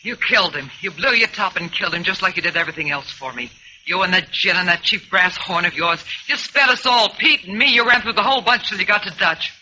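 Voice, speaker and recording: A woman's voice: on the low side, lively intonation, brisk, pushed and bright. A woman acting a bitter, vengeful lover in intense, accusing 1950s radio melodrama style. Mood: bitter, furious. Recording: muffled, noisy vintage radio recording.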